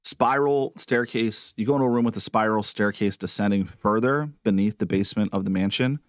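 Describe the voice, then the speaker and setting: A man acting as game master, narrating a tabletop game casually with halting phrasing and asides. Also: fairly low pitch, no room sound, clean studio sound.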